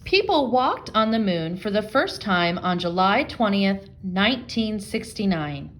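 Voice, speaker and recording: A woman with a mid-pitched voice gives a read-aloud lesson for young children. She speaks to them directly as their teacher, reasoning out loud and steering them with simple questions. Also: sing-song, a little slow. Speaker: elementary school reading teacher.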